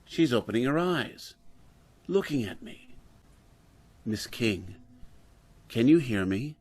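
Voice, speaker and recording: A man playing a dedicated, weary scientist professor in old-time radio drama style, speaking in a measured, formal way. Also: mid-range voice, lively intonation, darkish tone. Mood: grave, hushed.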